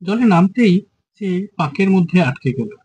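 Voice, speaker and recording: A man's voice: high-pitched, expressive, moderate pace, a little mellow, slightly rough, dull audio. A man narrating a children's fairytale in a scripted storytelling style.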